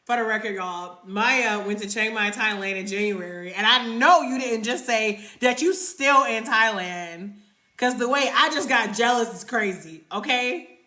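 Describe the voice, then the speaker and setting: A bright, forceful-sounding woman from North Carolina chats through a livestream Q&A, rambling casually and leaning on "like" as she reads and answers comments. Her voice bounces around an echoey room, picked up on a phone mic under a ring light. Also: on the high side, a little slow. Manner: chatty and rambling, many "like" fillers, answers comments aloud. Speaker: travel creator hosting a live Q&A. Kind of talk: casual livestream chat reading viewer comments.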